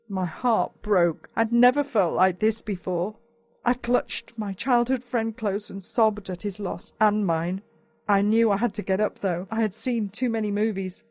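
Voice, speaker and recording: A woman with an Australian accent reads a first-person story as a dramatic, expressive audiobook narrator. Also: mid-range voice, dry recording.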